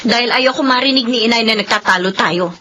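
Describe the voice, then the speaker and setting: An actress playing a caring, exasperated young older sister in a Filipino radio drama, earnest and emotional. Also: female voice, fairly high pitch, brisk, sharp tone, a little hiss or hum. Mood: angry, pleading.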